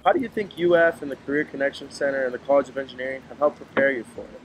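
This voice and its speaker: A young man, a student ambassador, hosting an energetic campus tour outdoors and interviewing fellow students. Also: mid-range voice, rapid-fire, slight background noise, poor audio. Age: young adult. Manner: energetic, enthusiastic.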